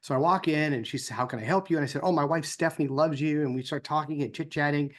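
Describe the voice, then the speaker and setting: Male, American, somewhat higher register. Informal speechmaker with a conversational, anecdotal delivery. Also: fast talker. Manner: conversational, acts out dialogue in anecdotes. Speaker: organization co-founder giving a speech.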